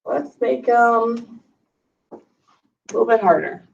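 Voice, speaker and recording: A woman teaching first graders in a patient, unhurried way, counting out blocks at a very slow pace. Her voice is a little scratchy. She stands some distance from the mic, and the recording sounds dull and lo-fi. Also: on the high side, gravelly. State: slightly scratchy from allergies. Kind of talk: recorded math lesson for young kids. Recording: lo-fi, voice a bit far from the mic.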